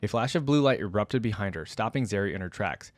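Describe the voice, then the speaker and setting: Low-voiced man, casual reaction host. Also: lively intonation, fast, no echo, clean studio sound. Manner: informal, enthusiastic fan. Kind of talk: casual reading and commentary.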